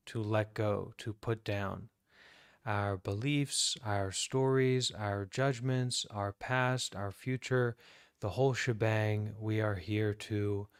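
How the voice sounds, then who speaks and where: An American man, a Buddhist monk, leading a guided meditation in a calm, deliberate way with many pauses. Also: fairly low pitch, smooth, no room sound, studio quality. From United States.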